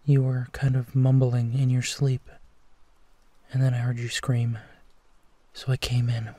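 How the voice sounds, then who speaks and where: A man acts as a tender, protective male werewolf in a comfort roleplay audio. His voice is dark, mellow and breathy, and very close and dry. He speaks slowly and softly, pausing as he reassures a frightened companion. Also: mid-range voice, monotone, no room sound, lightly acted. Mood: soothing concern. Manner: hushed, tender, unhurried reassurance with pauses. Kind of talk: intimate sleep-aid roleplay audio, soothing.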